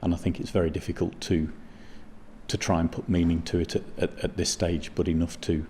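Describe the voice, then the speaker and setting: Male interviewee, low flat voice, reflective, faint background ambience. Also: fairly quick, slight background noise.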